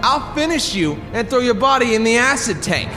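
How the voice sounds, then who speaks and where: A man's voice: extremely high pitch, very animated, normal speed, over music, exaggerated voice. A man playing a murderous, scheming businessman villain, desperate and defiant, in an audio drama with long reverb. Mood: murderous rage.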